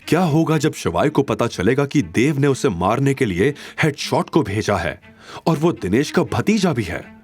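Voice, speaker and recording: A man narrating a scripted audio drama in an expressive, suspenseful way. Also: medium pitch, slightly rough. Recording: music bed behind.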